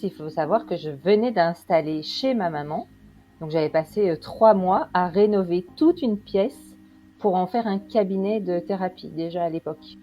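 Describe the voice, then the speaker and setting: A woman's voice: low voice, lo-fi sound. A woman from Belgium, chatting as an interview guest and telling her story with frequent hesitations. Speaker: guest, quantum practitioner.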